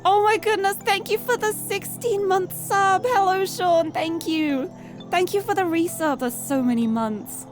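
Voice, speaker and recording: High-voiced British woman streamer. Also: unhurried. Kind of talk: live game stream chat and read-aloud narration. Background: music.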